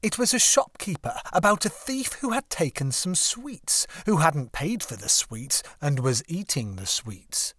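A man narrating a children's story as an audiobook reader, with expressive, deliberate phrasing. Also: fairly high pitch, breathy edge, no echo.

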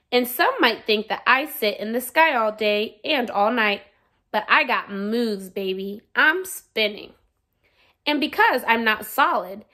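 A self-important talking Sun from a storybook, played by a woman reading aloud, high in pitch and unhurried. The delivery is playful, showy and full of bragging. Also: bright, forceful tone. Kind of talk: children's storybook read-aloud.

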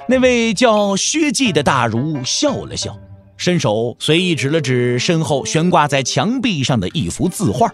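A man's voice: on the high side, sing-song, measured pace, faint music behind. A man narrating an audio drama as a dramatic storyteller, with emphatic, theatrical phrasing.

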